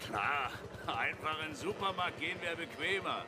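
A man plays a nosy, coarse country farmer in a German radio play. His voice sits a little high, and he rambles on in a folksy, blunt way. A vehicle rumbles noisily behind him. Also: animated, moderate pace, lightly acted. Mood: jovial, chatty. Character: chatty, coarse, nosy provincial farmer driving a tractor. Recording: clear vehicle noise behind.

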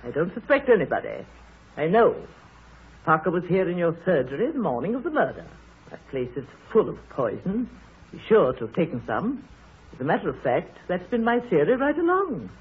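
An actress playing a chatty, self-satisfied middle-aged spinster who fancies herself a sleuth, in a 1930s radio drama. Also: female voice, fairly low pitch, big pitch swings, normal speed, breathy edge, exaggerated voice. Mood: eager, gossipy. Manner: gossipy, opinionated. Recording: muffled, narrowband 1930s radio broadcast.

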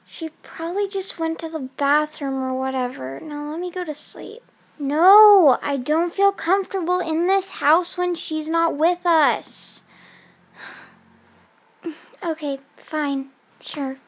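Young woman as a high-pitched, dramatic girl. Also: slow pace. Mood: uneasy. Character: young girls planning a sleepover, casual and dramatic. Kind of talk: amateur doll stop-motion voice acting.

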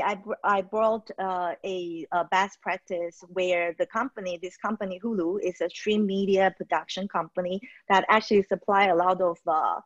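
A woman from Taiwan speaks over a video call as an HR trainer in a webinar, pausing often with fillers and restarting her sentences. The sound is dry and close, with dull, muffled highs. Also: fairly bright tone, dry recording. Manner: hesitant, many fillers and restarts. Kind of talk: webinar presentation.

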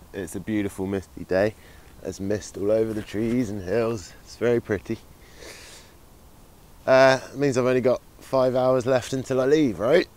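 A man's voice: lowish voice, a little hiss or hum. A man filming his own bushcraft vlog outdoors in the woods, talking cheerfully with playful, jokey asides. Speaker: bushcraft vlogger. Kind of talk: casual outdoor vlog commentary. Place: woodland outdoors, in the rain.

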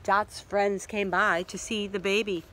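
A middle-aged woman reading a picture book aloud to children outdoors in an expressive way, pausing to ask questions. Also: mid-range voice, a little hiss or hum. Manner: expressive, asks listeners questions. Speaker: library story reader. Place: outdoors in a leafy yard.

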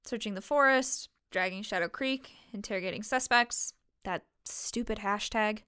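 A woman playing a guarded, grieving young waitress in a fiction podcast, speaking in a halting, matter-of-fact way. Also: medium pitch, pushed and bright, smooth, no room sound, studio quality, natural voice. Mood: subdued, explaining. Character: guarded young waitress grieving her missing sister, weary. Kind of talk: fiction podcast drama acting.

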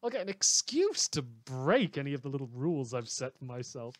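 A man playing an unhinged, sardonic radio host who delivers halting, wordy monologues in a theatrical manner. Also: mid-range voice, sing-song, dry recording, lightly acted. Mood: manic, gleeful. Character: rambling, unhinged male radio host in a superhero world. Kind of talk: satirical radio news monologue.

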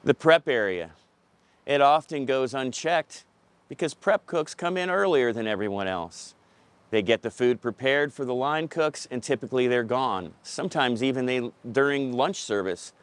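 A man's voice: medium pitch, lively intonation, normal speed, smooth. Middle-aged man giving plain-spoken advice. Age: 50s. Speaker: pest control company representative. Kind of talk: scripted advice to camera. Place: outdoors in a parking lot beside a pickup truck. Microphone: clip-on lapel mic.

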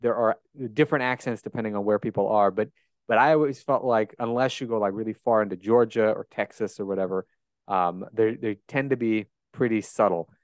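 A man's voice: lowish voice, fairly quick, dry recording. A man in his thirties with a Great Plains American accent, hosting a casual podcast interview and asking curious, chatty questions with frequent restarts. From United States. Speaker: podcast host. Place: bright room at home. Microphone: handheld dynamic mic close up.

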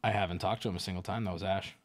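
A man hosting a livestream, chatting casually and teasing, with loud joking outbursts. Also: low voice, steady tone, racing. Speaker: livestream host. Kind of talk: casual stream chat.

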